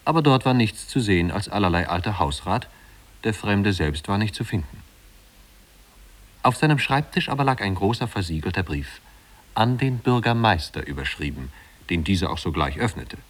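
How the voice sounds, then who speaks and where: A man's voice: fairly low pitch, animated, moderate pace. A man narrating a story in a scripted 1950s German radio play.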